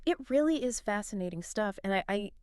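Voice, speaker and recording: Smooth-voiced woman chatting eagerly. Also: mid-range voice, expressive, fairly quick, a little mellow, no echo, clean studio sound. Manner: conversational, lots of "like" and "you know", eager reactions. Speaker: podcast co-host. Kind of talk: casual chat.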